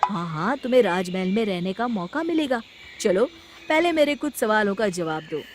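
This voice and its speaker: A woman's voice: medium pitch, expressive, normal speed, loud music behind the voice, lightly acted. A woman voicing a cunning, confident village man in a storybook tale, speaking persuasively.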